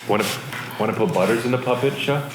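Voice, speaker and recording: A man's voice: mid-range voice, quick pace, background noise. A man joking and teasing in casual family banter on a home vlog. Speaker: husband joining a family vlog. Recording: roomy echo, music behind. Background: music.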